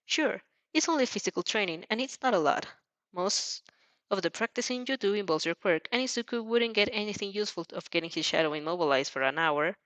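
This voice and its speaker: A woman reading a story aloud as an expressive amateur narrator, sometimes stumbling and rereading phrases. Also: mid-range voice, a little fast, no echo. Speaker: fan fiction narrator.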